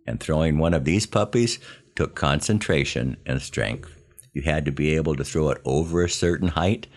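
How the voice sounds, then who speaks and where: An American man in his seventies narrating scripted first-person memoir stories in a wry, plain-spoken, measured way. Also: very deep voice. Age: 70s. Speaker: podcast host telling his army memories.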